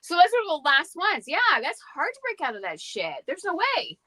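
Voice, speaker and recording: A woman's voice: very high-pitched, huge pitch swings, normal speed, sharp tone, no room sound, clean studio sound. A woman co-hosting a podcast in casual chat, gushing and slangy, with plenty of swearing. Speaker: podcast co-host.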